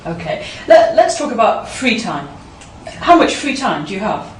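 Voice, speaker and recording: A woman acting as an examiner, reading out formal test questions in a brisk manner. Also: medium pitch, sing-song. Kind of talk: scripted exam questions. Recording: roomy, distant mic, faint noise.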